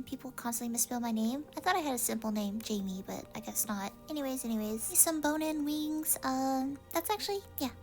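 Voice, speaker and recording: A young woman from Texas, chatting casually through an unboxing with lots of fillers, sounding a little congested. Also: on the high side. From Texas, USA. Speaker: vlogger.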